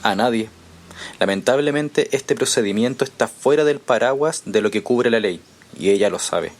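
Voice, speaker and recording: A man's voice: medium pitch, animated, normal speed, close to an everyday voice. Composed, diplomatic man played by an actor. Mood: grave, explanatory. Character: Néstor, calm, diplomatic journalist guiding an experimental procedure. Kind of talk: audio drama acting.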